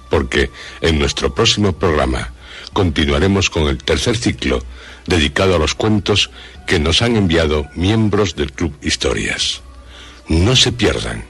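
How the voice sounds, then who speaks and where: A man with a Castilian Spanish accent presenting a radio suspense programme in a scripted, theatrical way with ominous pauses. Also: extremely low pitch, a bit bright, breathy edge.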